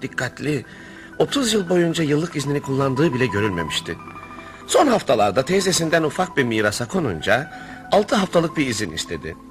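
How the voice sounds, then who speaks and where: Actor as a genial storytelling family friend, music behind. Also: male voice, mid-range voice, close to an everyday voice. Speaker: narrator and character in a radio play. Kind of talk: radio theatre acting, storytelling narration. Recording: radio play with music behind.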